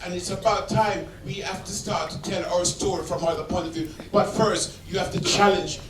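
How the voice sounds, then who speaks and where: A man's voice: sits high, steady tone, a little fast, noisy background. A man in a cinema audience earnestly pressing a question at a film Q&A. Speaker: audience member asking a question. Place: cinema auditorium. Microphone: point-and-shoot camera across the room. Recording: echoey auditorium, distant camera mic.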